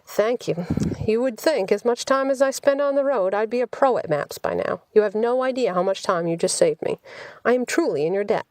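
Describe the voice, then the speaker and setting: A woman's voice: on the high side, fairly quick, darkish tone, no echo, lightly acted, poor audio. Audiobook role: courteous male stranger read by a woman, formal, insistent salesman, dry recording. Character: smooth-talking, courteous salesman, a handsome stranger.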